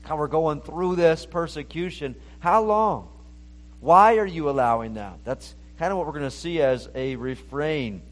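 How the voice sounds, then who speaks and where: A man's voice: lively intonation, unhurried. Earnest male pastor preaching. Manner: earnest, rises in pitch when reading scripture aloud. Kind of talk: sermon.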